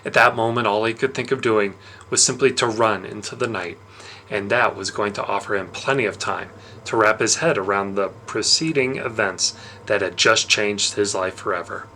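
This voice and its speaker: A man narrating a novel aloud in an expressive storytelling style. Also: lowish voice, normal speed, slight background noise, rough recording.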